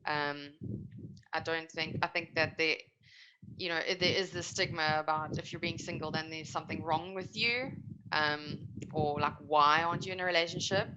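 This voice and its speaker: A woman's voice: low voice, fairly even intonation, moderate pace, pushed and bright, clean tone, lo-fi sound. A woman in her late twenties, a panel guest speaking openly and expressively in a casual discussion. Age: 20s.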